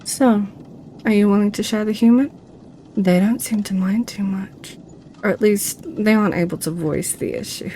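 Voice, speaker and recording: A woman's voice: medium pitch, normal speed, warm tone, a little hiss or hum, close to an everyday voice. A woman playing a seductive, possessive succubus in an ASMR roleplay, with a teasing, sly way of talking. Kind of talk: ASMR roleplay audio drama.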